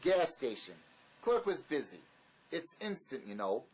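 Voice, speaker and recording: Bossy desperate fugitive played by a man, animated pitch. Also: unhurried, slight background noise, rough recording. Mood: matter-of-fact.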